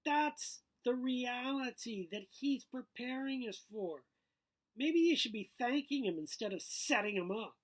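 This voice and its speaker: A man's voice: very high-pitched, expressive, a little slow, pitched above the performer's own voice, heavily acted. Man voicing an earnest, emphatic teenage schoolboy. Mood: accusing, indignant. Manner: emphatic, accusing. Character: earnest, indignant teenage schoolboy confronting his friend.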